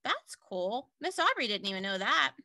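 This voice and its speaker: Animated, high-pitched woman reading to kids. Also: moderate pace, no room sound, clean studio sound. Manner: animated, simple explaining, addressing young listeners. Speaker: teacher reading a picture book aloud to young children. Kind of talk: children's read-aloud with explanatory asides.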